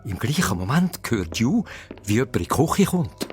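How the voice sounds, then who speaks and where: A man's voice: mid-range voice, sing-song, moderate pace, loud music behind the voice. A man with a Swiss accent narrating a children's radio play as a suspenseful story.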